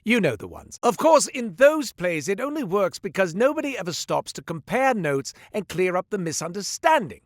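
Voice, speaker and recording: Wry, theatrical merchant storyteller. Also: male voice, high-pitched, sing-song, normal speed, few pauses, dry recording, studio quality. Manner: theatrical, salesman patter, wry. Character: sly smooth-talking male merchant storyteller. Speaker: shopkeeper narrating a tale. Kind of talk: studio game voice lines. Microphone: close studio mic.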